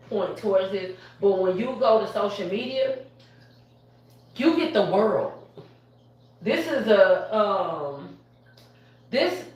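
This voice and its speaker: A woman talks up her barbershop with lively, emphatic energy and frequent laughs. She sits a way back from the mic, and the room around her rings with heavy echo. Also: medium pitch. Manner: animated, emphatic, laughs often. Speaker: barbershop owner. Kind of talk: live panel talk. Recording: echoey room from far off.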